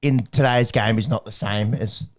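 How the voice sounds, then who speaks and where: A man's voice: fairly low pitch, fairly even intonation, normal speed. Rambling man talking footy. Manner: rambling, restarts, jokey, laughs often. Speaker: podcast co-host. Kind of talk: casual sports chat.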